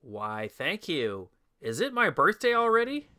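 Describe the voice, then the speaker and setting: A man's voice: a little high, wildly swooping pitch, unhurried, pushed and bright, exaggerated voice. A man playing a mild, naive, chatty husband in a comedic audio drama. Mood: pleasantly surprised. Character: mild, oblivious husband, easily pleased, henpecked.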